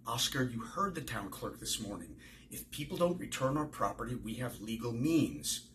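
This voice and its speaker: A man in his sixties reading the part of a hopeful, gentle baker who soothes others, from a novel. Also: mid-range voice, animated, moderate pace, slight background noise, natural voice. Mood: placating.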